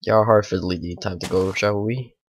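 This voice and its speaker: A man, hoarse from a bad cold, rambling through casual let's play commentary at home. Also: low-pitched, fairly even intonation, moderate pace, a little hiss or hum. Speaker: gaming channel host.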